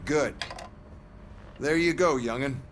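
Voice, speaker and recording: A manipulative male boss from a video game, voiced by an actor. His pitch is average and his manner smug and condescending. A faint ambience runs behind the voice. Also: slow pace, slight background noise, close to an everyday voice. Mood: smug, scheming.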